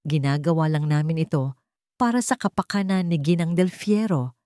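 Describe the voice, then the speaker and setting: A woman's voice: deep voice, expressive, moderate pace, warm tone, no room sound, clean studio sound. Woman acting a smug villain's lawyer. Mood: falsely concerned. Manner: mocking, feigned concern. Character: smug, falsely concerned male lawyer for a villain.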